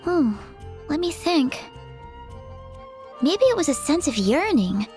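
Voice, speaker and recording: High-pitched, shy young female bard. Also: sing-song, takes it slowly, a little mellow, heavily acted. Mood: wistful, anxious. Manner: hesitant, apologetic, stammering, earnest. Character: shy, earnest, nervous young woman, aspiring bard. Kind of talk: video game character acting. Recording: music behind the voice.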